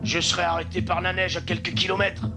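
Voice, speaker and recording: Un comédien qui joue un conducteur de chiens de traîneau pragmatique et soucieux, au ton direct, dans un dessin animé. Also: male voice, high-pitched, completely flat delivery, fast, over loud music. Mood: worried. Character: practical, worried male sled-dog driver. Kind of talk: animated film dub.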